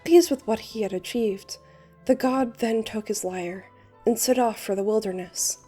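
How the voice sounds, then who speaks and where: A theatrical young male bard, acted by a woman, tells tales in a lilting, old-fashioned way for a fantasy dub. The voice is fairly high and mellow, over faint music. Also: moderate pace, close to an everyday voice. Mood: storytelling. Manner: lilting, archaic rhyming delivery. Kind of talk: fan dub character acting and storytelling narration.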